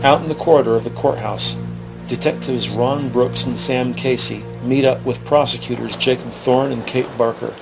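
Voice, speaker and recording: A man reading scripted dramatic narration for an audio drama, deliberate and grave. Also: mid-range voice, fairly even intonation, creaky, over music, low-quality recording.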